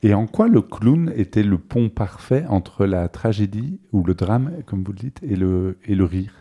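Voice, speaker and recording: A man's voice: fairly low pitch, animated, unhurried, dark tone, highs cut off. A man co-hosting a radio interview in a studio, making light, joking interjections. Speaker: radio co-host and technician.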